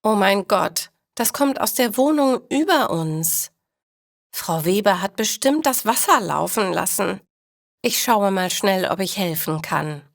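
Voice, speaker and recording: A woman's voice: mid-range voice, lively intonation, a little slow, vocal fry, no echo. A cheerful, practical bakery owner from a storybook, played by a woman narrator, speaks emphatically and with animation. Her voice sits mid-range in a dry recording. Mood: alarmed.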